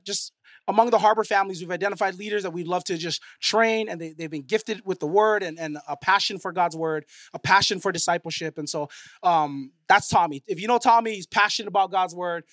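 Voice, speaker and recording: High-voiced man, halting church introduction. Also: a bit bright, dry recording. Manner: halting, many ums and restarts. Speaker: church leader introducing the speaker. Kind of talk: casual church announcement.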